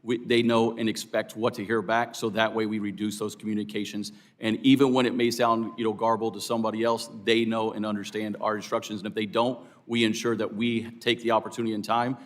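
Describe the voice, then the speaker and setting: A man's voice: fairly low pitch, little pitch movement, a little fast, hardly any pauses. A man who was an air traffic controller, giving polite, formal testimony at a hearing. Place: hearing room.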